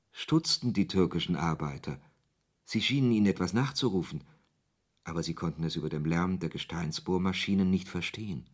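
A man's voice: sits low, exaggerated voice. A man reading scripted literary narration as the narrator of a radio play. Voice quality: husky.

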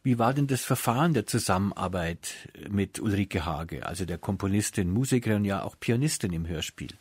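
A man's voice: fairly low pitch, lively intonation, brisk, slightly rough, no echo. Inquisitive male interviewer, dry close sound. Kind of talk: conversational cultural radio interview.

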